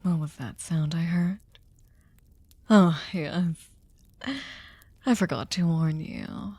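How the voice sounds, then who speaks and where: A woman playing a sadistic, seductive vampire mistress in an ASMR roleplay, commanding and condescendingly tender, breathy and often whispering. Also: lowish voice, slow pace, mellow, dry recording, exaggerated voice. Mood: hungry, gloating. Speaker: ASMR voice performer. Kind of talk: ASMR roleplay, slow intimate monologue. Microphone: close studio microphone, dry.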